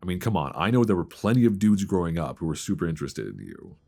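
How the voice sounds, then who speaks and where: A man's voice: low voice, very animated, fast talker, vocal fry, dark tone, exaggerated voice. A man playing a playful, easily flustered old friend in an intimate, conversational romantic audio roleplay, teasing and stammering as he chats. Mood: teasing, flirty. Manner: teasing, stammering, chuckling banter.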